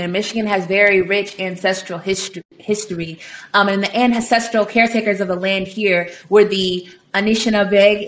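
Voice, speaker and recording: A young adult woman, a musician guest in an interview, telling her story with emphatic, passionate conviction. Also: mid-range voice. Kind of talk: conversational interview answers. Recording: roomy echoey room.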